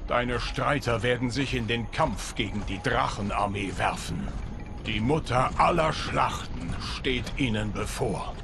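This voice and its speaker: An actor playing a solemn, loyal guardian of time in video game dialogue, formal, deliberate and grave. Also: male voice, mid-range voice, gravelly, background noise. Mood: solemn, measured. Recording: music behind the voice.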